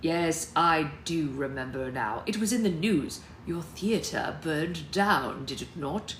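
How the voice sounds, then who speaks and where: A woman's voice: sits low, lively intonation, takes it slowly, a bit bright, full character voice. A woman voicing a stuffy middle-aged father, formal, sceptical and bluntly questioning, in a storybook reading. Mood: pointed. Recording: roomy echo, background noise.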